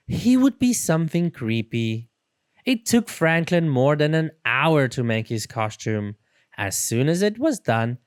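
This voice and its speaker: Storybook read-aloud person, takes it slow, super expressive up and down, dry recording. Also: mid-range voice, clear, resonant tone, no room sound. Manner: dramatic, deliberate storytelling for young children. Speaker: children's storybook reader.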